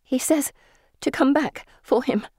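A woman voicing an anxious, well-bred young lady in an audiobook, speaking in an urgent, breathy way. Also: high-pitched, measured pace, mellow, dry recording, studio quality, pitched above the performer's own voice. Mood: breathless, urgent.

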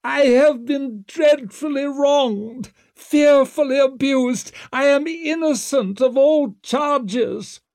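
A man voicing a self-pitying accused man in an audiobook, shrill and ringing, drawing out each melodramatic protest. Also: darkish tone, no echo, clean studio sound, exaggerated voice. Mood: wailing, aggrieved. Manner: melodramatic, plaintive, drawn-out. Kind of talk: audiobook character acting.

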